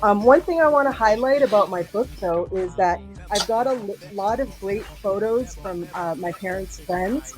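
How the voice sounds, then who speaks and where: A woman's voice: medium pitch, animated, normal speed, few pauses, poor audio. Chatty woman professor guest, lots of ums and likes, bit of music in the back. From Boston area, USA. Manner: chatty, many fillers, asks the audience questions. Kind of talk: casual live interview chat.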